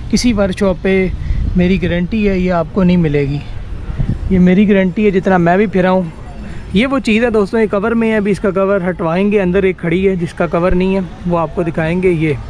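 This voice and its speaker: A man's voice: sits high, a little mellow. A man with a Pakistani Urdu accent casually walking through an outdoor workshop yard, pointing out vehicles in a chatty tour. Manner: chatty, pointing things out, frequent "ji" and "dekh rahe ho". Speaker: vlogger touring a vehicle workshop. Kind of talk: casual walk-and-talk tour. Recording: outdoor street noise behind voice.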